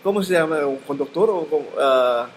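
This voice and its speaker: A man's voice: on the high side, expressive, brisk, over a noisy crowd. A man practicing a language as a student on a video call, hesitant and searching for words with many fillers. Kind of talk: halting practice conversation.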